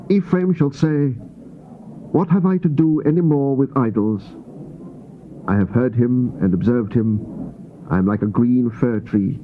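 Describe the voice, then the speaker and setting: An actor playing a grave biblical prophet, reading scripture in a measured, stately, solemn way. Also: male voice, mid-range voice, natural voice. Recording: muffled thin old cassette tape, faint hiss.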